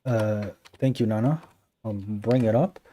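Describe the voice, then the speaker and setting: A man hosting a live call-in religious debate on a phone stream, explaining his points earnestly and briskly. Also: fairly low pitch, mellow. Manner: earnest, explanatory, quick to dismiss callers. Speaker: livestream host debating callers. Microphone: phone livestream.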